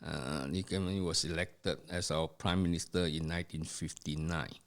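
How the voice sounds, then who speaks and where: An older man from Singapore, recalling family history in an interview with his son, speaking haltingly with many fillers and restarts. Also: rumbling low, fairly even intonation, a little hiss or hum. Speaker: podcast guest, host's father.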